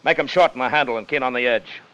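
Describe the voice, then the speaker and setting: An actor in a 1950s radio drama playing a hard, greedy ship's officer, commanding, with old-fashioned seafaring speech. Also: male voice, medium pitch, quick pace, a bit bright, clean tone, dull audio, lightly acted. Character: hard, scheming, greedy sailing-ship officer leading a treasure hunt. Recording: 1950 radio broadcast with music underneath.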